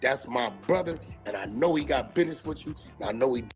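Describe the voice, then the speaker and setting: A man's voice: medium pitch, lively intonation, fast, faint music behind. An American man in a slangy, emphatic monologue, calling people out in a confrontational way.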